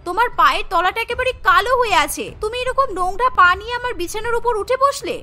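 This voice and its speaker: A woman's voice: extremely high pitch, quick pace, pushed and bright. A woman voicing a haughty, possessive young daughter-in-law in a cartoon. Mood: angry, insulting.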